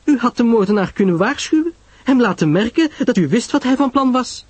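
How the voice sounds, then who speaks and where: A man's voice: very high voice, lively intonation, fairly quick, breathy edge, no echo, voice pushed up, heavily acted. A man voicing an emphatic, reproachful young society woman in a mystery audio drama. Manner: emphatic, exclaiming.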